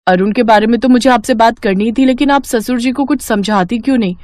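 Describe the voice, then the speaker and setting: A naive young bride, earnest and flustered, played by a woman for a cartoon. Her voice is somewhat high for a woman and recorded dry and close. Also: fast talker, darkish tone, no echo, lightly acted. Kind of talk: cartoon dubbing.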